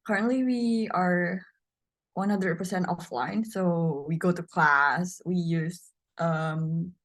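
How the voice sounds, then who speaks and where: Indonesian teen girl, english not her first language, slow and a bit low, keeps pausing to find words. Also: fairly even intonation. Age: teens. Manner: hesitant, searching for words in English. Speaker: guest student. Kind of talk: casual conversation.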